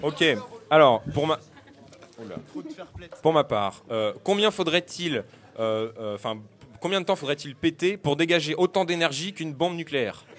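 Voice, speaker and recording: A young man hosting a lively student radio quiz debate, playful and joking with apologetic asides. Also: on the high side, moderate pace. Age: young adult. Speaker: quiz host.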